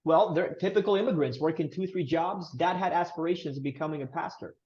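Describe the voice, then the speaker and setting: A man's voice: fairly high pitch, fairly quick, a little mellow, muffled recording. A man in his thirties from Romania, a podcast guest earnestly telling his family story with emphatic delivery. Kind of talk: casual interview storytelling.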